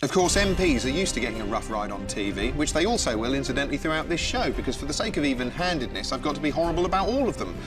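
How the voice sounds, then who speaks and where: A man's voice: mid-range voice, big pitch swings, fast talker, few pauses, loud music behind the voice. A man, hosting with sarcastic, mock-solemn scripted narration. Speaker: comedy host.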